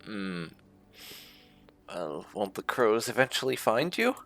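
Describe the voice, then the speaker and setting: A man playing a roleplay game and talking in character, casual and musing, with hums and drawn-out words. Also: fairly low pitch, big pitch swings, fairly bright tone, faint music behind. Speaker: gamer roleplaying as his character. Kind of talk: casual let's play commentary, speaking in character.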